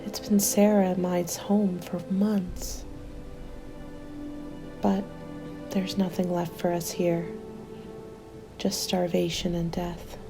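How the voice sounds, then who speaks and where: Woman acting a hushed, weary apocalypse survivor. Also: lowish voice, little pitch movement, slow, vocal fry, pauses often, over music. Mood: weary, wistful hope. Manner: soft, hushed and intimate, often slipping into whispers. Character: weary, hopeful female zombie-apocalypse survivor writing a winter journal. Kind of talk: ASMR roleplay, scripted dramatic narration.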